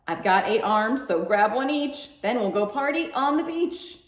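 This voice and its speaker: Storybook octopus, laid-back surfer dude played by a woman, boastful, lively pitch, roomy sound. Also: unhurried. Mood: breezy, confident. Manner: breezy, boastful slang.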